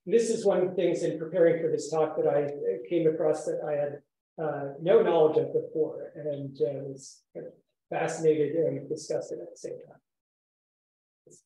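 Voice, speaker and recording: A man giving an academic conference talk as a lecturer, speaking in a measured, formal, articulate way in an indoor room. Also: fairly high pitch, fairly even intonation, mellow. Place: echoey indoor room, speaker standing while presenting. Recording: very echoey room sound.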